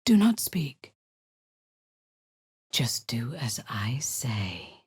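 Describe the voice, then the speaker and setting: A woman's voice: extremely low pitch, big pitch swings, drawn-out words, a little mellow, slightly rough, dry recording, studio quality, heavily acted. A woman voicing a cruel, zealous drow warlord in a video game, giving cold commands that often sink into a menacing whisper.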